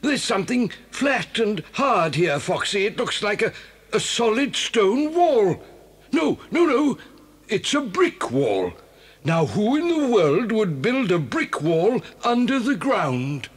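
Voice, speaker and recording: A man's voice: high voice, sing-song, slow pace, breathy edge, heavily acted. A man playing a worried, respectable badger in a children's audio drama, hesitant and conscientious. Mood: puzzled. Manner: hesitant, fretful.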